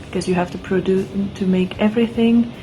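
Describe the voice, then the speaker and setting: A woman from Romania talking to camera in a lively way, with a few ums and restarts. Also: mid-range voice, fairly quick, highs cut off, background noise. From Iași, Romania. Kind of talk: casual self-introduction to camera.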